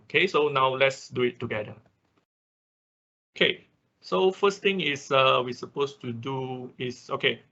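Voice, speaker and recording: A man instructs an online class step by step in an informal way, often pausing to check in. His voice is bright and forceful with a creaky edge. The sound is dull and lo-fi, as from a computer microphone. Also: mid-range voice. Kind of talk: hands-on technical tutorial, walking through steps.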